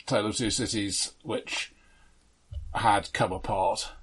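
A man co-hosting a film discussion, giving background in a measured, factual, halting way. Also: lowish voice, steady tone, a bit bright, breathy edge. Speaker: film podcast co-host.

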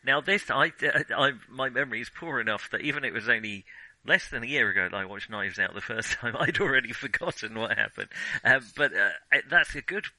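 A man's voice: mid-range voice, expressive, fairly quick, pushed and bright, low-quality recording. A man co-hosting a film podcast, chatting casually and conversationally, often acting out imagined reactions as he explains. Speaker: film discussion podcast co-host.

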